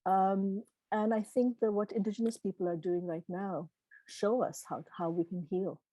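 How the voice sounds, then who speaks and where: A woman's voice: mid-range voice, fairly even intonation, moderate pace, dark tone, no echo, low-quality recording. A middle-aged woman whose first language is Gujarati, answering questions informally as a keynote speaker in an encouraging, earnest way. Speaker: keynote speaker, literary publisher.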